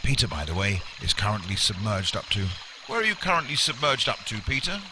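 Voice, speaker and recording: A man's voice: on the low side, very animated, fairly quick, background noise. A man playing a wordy, unflappable, pompous nature presenter in a mock radio documentary, speaking with formal politeness. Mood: cheerily oblivious.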